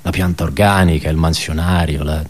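An actor playing an embittered, pedantic male office worker in a radio theatre monologue, halting and repeating his words as he puts rhetorical questions. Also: a bass voice, steady tone. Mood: accusing, anguished.

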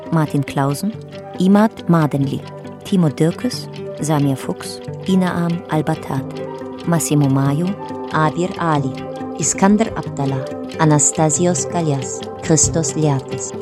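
A woman announcer reading scripted titles and credits for a radio drama in a measured, factual way. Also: deep voice.

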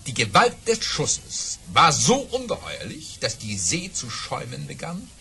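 Theatrical boastful baron, wildly animated. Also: male voice, medium pitch, measured pace, vocal fry, slight background noise, exaggerated voice. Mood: dramatic relish. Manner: theatrical, dramatic emphasis. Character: boastful baron telling tall tales with theatrical relish. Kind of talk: German audio drama storytelling.

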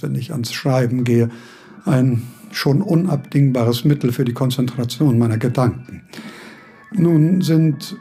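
An actor playing a fussy old philosophy professor in a radio play, formal, courtly and pedantic. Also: male voice, medium pitch, warm tone, husky. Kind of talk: German radio play acting.

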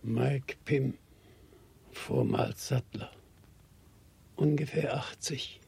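A man playing a frail, helpless former craftsman of about eighty in a radio play, husky and halting. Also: mid-range voice, steady tone, dark tone, heavily acted. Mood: weary, helpless. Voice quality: creaky, husky, breathy. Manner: slow, halting. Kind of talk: 1960s radio play acting.

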